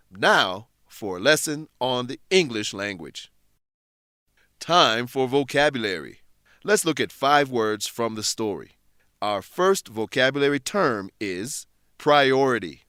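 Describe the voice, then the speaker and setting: Male narrator for a language-learning programme: structured, explanatory scripted read, medium pitch, very dry sound. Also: a bit bright, no room sound. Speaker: narrator and English teacher.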